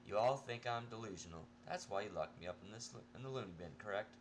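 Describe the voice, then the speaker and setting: A low-voiced, sarcastic male mental patient in an audio story, acted out by a man reading aloud. He is prickly and wry, with faint noise behind the recording. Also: fast talker, lightly acted. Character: sarcastic, irritable male asylum patient telling his story.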